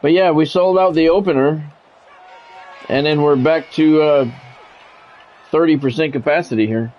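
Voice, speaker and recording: A man's voice: on the high side, normal speed, highs cut off. An American man doing football play-by-play on a gaming stream, mixed with casual chat. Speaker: gaming streamer calling play-by-play of own game; former radio sports play-by-play announcer.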